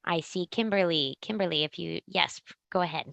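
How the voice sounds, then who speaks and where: Woman moderating a call, gravelly lowish voice, bit muffled. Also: moderate pace, fairly bright tone, no room sound. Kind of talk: informal moderating of a conference call. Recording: slightly muffled call audio.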